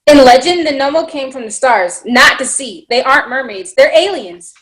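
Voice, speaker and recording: An earnest, bookish friend read by a woman at a table read. Her voice sits a little high. She explains things sincerely, as a script character. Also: expressive, normal speed, fairly bright tone. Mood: earnest explaining.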